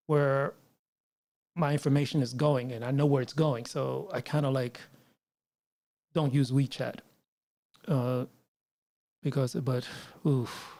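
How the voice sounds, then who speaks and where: A man's voice: a little high, warm tone. A man hosting a casual live stream, rambling with frequent restarts and asides to viewers. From United States. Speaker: livestream host. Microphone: mixer setup with line noise.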